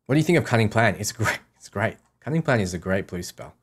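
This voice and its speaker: A man's voice: lowish voice, lively intonation, fast talker, husky. A man streaming a game, casually reading out questions and answering them offhand in short, repeated sentences. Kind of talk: casual livestream Q&A.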